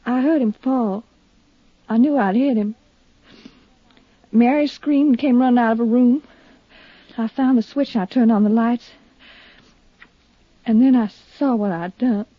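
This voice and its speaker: A husky, earnest young radio-drama heroine. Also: female voice, a little high, measured pace, pauses often, no echo. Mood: frightened, distraught. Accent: American. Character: brave, shaken young woman recounting a shooting. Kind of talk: 1950s radio drama acting. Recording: dull, dry vintage radio recording.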